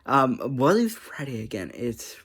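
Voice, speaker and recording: An online creator chatting casually to viewers in a rambling, easily distracted way, energetic, giggly and self-deprecating. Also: medium pitch, measured pace, a little hiss or hum.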